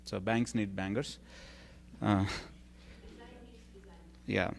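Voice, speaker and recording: A man's voice: on the low side, steady tone, extremely slow, gravelly, a little hiss or hum. A man moderating a church Q&A, reading out questions in a hesitant way, full of ums and uhs. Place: church hall.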